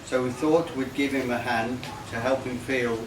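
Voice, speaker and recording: A man reading a comic rhyming poem aloud in a measured way, stumbling now and then. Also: mid-range voice, fairly even intonation. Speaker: party guest reading a tribute poem. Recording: echoey, noisy room, distant microphone.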